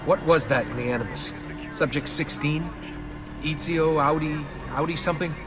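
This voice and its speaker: A man's voice: fairly high pitch, moderate pace, close to an everyday voice. An actor playing a wry, curious young man on the run in a video game, casual and questioning. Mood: puzzled. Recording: game audio under loud music. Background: loud game soundtrack music.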